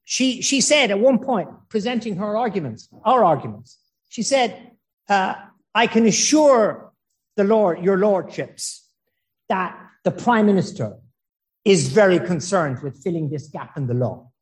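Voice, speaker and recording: A middle-aged man from Ireland giving an informal law lecture, slow and emphatic, with joking asides and digressions. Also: high-pitched, animated.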